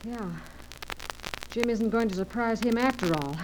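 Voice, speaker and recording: A woman's voice: a little high, measured pace, close to an everyday voice. An actress playing a plucky, worried female adventurer in an old radio serial, earnest and urgent. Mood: tense, steady. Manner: earnest, urgent, crisp pleading. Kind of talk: 1940s-50s radio adventure serial acting. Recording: crackly old radio recording.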